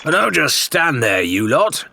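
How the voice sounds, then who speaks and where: A man voicing a gruff, forceful pirate captain in an audiobook, with a commanding, snappish manner. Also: a little high, very animated, slow pace, a little hiss or hum, pitched above the performer's own voice, heavily acted. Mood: snapping.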